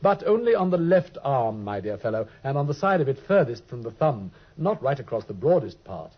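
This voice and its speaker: An actor playing a brilliant, dryly teasing detective in a vintage radio drama, speaking with crisp, precise wit. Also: male voice, mid-range voice, very animated, brisk. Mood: wry. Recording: dull old radio recording.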